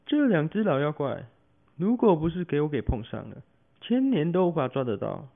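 A man's voice: on the high side, big pitch swings, moderate pace, creaky, narrow, tinny audio, full character voice. Man playing a shrewd demon-hunting official, swooping intonation. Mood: triumphant. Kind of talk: amateur radio drama acting.

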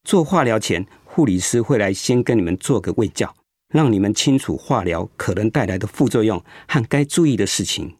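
An actor playing a kind, steady male oncologist in a radio drama, explaining things in a reassuring way. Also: lowish voice, a little fast, husky, dry recording, clean studio sound. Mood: encouraging. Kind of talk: Mandarin radio drama acting.

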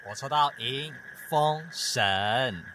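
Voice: medium pitch, very slow, sharp tone, a little hiss or hum. An actor playing a dutiful, devout young temple guard in an audio drama, chatty and earnest. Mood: chatty, reverent. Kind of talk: Mandarin audio drama acting.

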